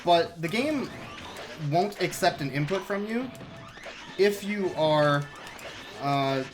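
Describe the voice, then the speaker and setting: A man commentating his own video game speedrun in a relaxed, explanatory way, with sudden excited outbursts. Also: a little high. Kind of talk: casual live-stream commentary. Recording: game music playing behind.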